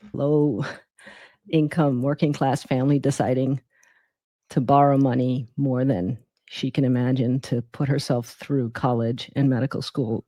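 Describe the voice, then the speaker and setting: A middle-aged woman from Guyana who grew up in New York, telling her personal story reflectively as an interview guest, with thoughtful, deliberate phrasing. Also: rumbling low, warm tone, no echo. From born in Guyana, raised in the Bronx from age seven. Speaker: interview guest, emergency physician.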